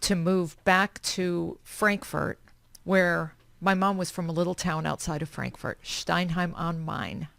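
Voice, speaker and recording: Gravelly-voiced woman telling her story. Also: lowish voice, a little slow, fairly bright tone, no room sound. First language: German. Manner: short, matter-of-fact answers. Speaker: nonprofit founder, interview guest. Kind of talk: radio interview, personal life story.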